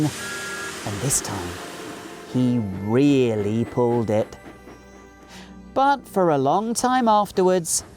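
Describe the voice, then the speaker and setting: A man in his forties with a British accent, narrating a children's story in an animated reading style. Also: a little high. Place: small indoor recording studio.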